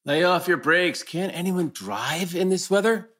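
A man's voice: high-pitched, animated, a little slow, fairly bright tone, full character voice. A man playing an earnest middle-aged husband in a dramatic table read, sounding natural and conversational. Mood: irritated. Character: earnest middle-aged husband torn over old flame.

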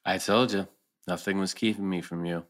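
A man's voice: deep voice, steady tone, normal speed, close to an everyday voice. A man acting an earnest, reassuring middle-aged husband in a TV drama table read.

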